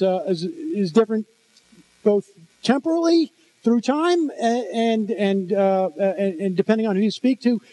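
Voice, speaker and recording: A man moderates a panel in a big convention hall, his voice sitting fairly high. He halts often and fills gaps with "uh" and "um" while he lays out the ground rules. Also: darkish tone. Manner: halting, many fillers. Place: large convention hall stage.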